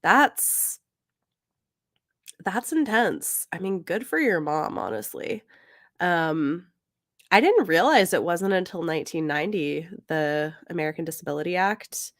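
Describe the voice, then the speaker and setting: A woman's voice: lowish voice, very animated, no room sound. Casual woman co-host, very dry close sound. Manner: informal, halting, thinking aloud. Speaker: podcast co-host. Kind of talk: casual book discussion chat.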